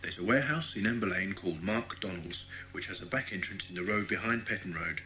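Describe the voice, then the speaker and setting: Fast-talking, low-voiced British police inspector. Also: male voice, bright, forceful tone, a little hiss or hum. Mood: reassuring. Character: friendly, obliging adult male police inspector. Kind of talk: dramatised children's audiobook acting.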